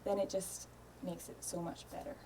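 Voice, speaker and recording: A woman's voice: on the low side, little pitch movement, normal speed, mellow, slight background noise. A woman in her thirties with a Scottish accent, a podcast guest chatting easily in a studio, laughing often and dropping in "um" and "yeah". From Scotland. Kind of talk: casual interview chat. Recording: voice a little distant from mic.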